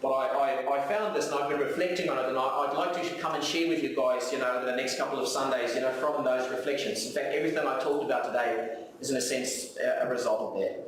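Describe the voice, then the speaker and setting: A man's voice: mid-range voice, steady tone, quick pace. A man with a South African accent, a native Afrikaans speaker, preaching earnestly with repeated phrases and rhetorical questions. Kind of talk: sermon with reflective readings. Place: church hall, live service. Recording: very echoey room, distant mic, faint noise.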